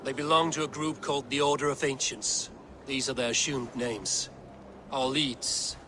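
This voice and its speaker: An actor playing a resolute, grim and forthright Viking warrior in a dramatic video game. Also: male voice, mid-range voice, fairly even intonation, normal speed, noisy background, natural voice. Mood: grave, urgent.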